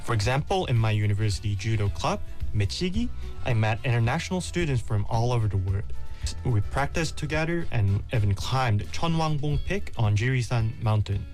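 Young man, Korean accent, mid-pitched, earnest, thoughtful answers, faint music under. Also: expressive, moderate pace, darkish tone. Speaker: guest, university student. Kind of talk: interview answers.

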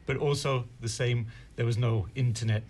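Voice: medium pitch, steady tone, moderate pace, a little mellow, slight background noise. British-raised teacher telling anecdotes. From United Kingdom. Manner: chatty anecdotes, acted-out quotes. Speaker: guest, Korean teacher. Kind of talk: panel talk.

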